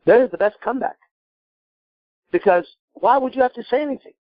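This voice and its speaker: A man's voice: extremely high pitch, a little fast, dry recording, lo-fi sound. A man from Iran giving improvised advice as a call-in show host, emphatic and blunt, repeating himself and asking rhetorical questions. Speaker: podcast host and coach.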